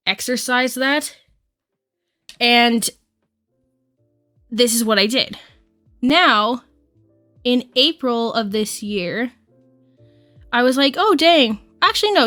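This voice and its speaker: A woman's voice: on the high side, a bit bright. A woman in her twenties from Quebec, Canada, chatting casually and rambling with lots of fillers as a YouTuber. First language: English. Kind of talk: casual chat while doing makeup.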